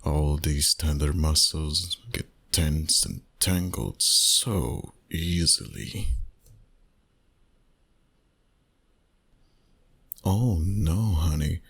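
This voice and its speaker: A man voicing a flirty, dominant vampire boss in an intimate, teasing ASMR roleplay, soft and breathy. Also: a bass voice, full character voice. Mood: soothing, seductive. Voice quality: breathy, husky, often near a whisper. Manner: slow, soft, teasing seduction.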